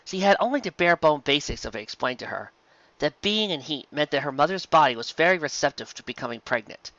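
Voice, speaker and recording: A man's voice: medium pitch, bright, forceful tone, no echo, dull audio. A man reading a scripted story aloud as narrator. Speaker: narrator, reader.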